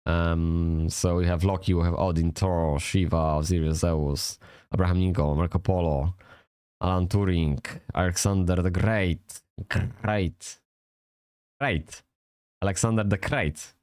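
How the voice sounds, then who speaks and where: Deep-voiced Polish man rambling casually. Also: steady tone, unhurried, darkish tone, clear, resonant tone, dry recording, studio quality. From Poland. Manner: swears often, rambling and self-correcting, chuckles. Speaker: vlogger reviewing a language app.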